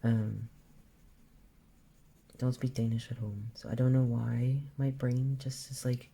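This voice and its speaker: A woman's voice: extremely low pitch, slow pace, vocal fry, breathy edge. A woman in her forties chatting casually while she draws, thinking aloud and trailing off mid-sentence. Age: 40s. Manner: relaxed, self-talk about the colors. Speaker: art vlogger. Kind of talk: casual chat while drawing.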